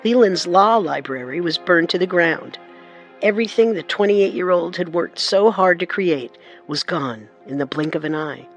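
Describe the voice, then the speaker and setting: Man, high voice, measured dramatic narration, music underneath. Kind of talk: scripted documentary narration.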